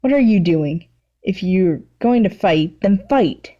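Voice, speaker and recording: A woman's voice: medium pitch, animated, slow, muffled recording. A woman voicing a stern, protective adult male hero and teacher with a firm, challenging manner in a story reading.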